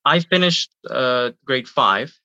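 Bright-voiced Iranian-born man, chatty. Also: medium pitch, expressive, slow pace, no echo, lo-fi sound. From Iran. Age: 30s. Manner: chatty, repeats words and says "uh" a lot. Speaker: guest, YouTuber. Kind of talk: casual interview answers.

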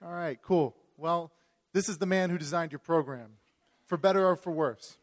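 Young man, slightly high voice. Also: moderate pace. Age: young adult. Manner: casual, joking. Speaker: student introducer. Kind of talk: speaker introduction.

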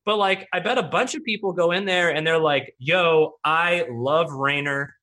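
A young man co-hosting a podcast, talking loudly and animatedly in casual chat. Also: fairly high pitch, moderate pace. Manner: loud, animated, talkative. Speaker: podcast co-host.

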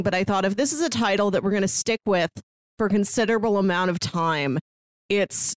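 A woman's voice: mid-range voice, no echo. A woman co-hosting a podcast in casual conversation about manga, with a wry, joking way of talking. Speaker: podcast co-host.

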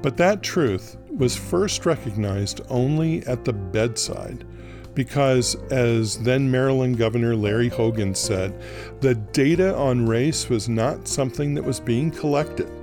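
A man hosting a podcast, moving between scripted narration and interviewing with deliberate, articulate phrasing. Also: medium pitch, darkish tone, faint music behind. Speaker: podcast host.

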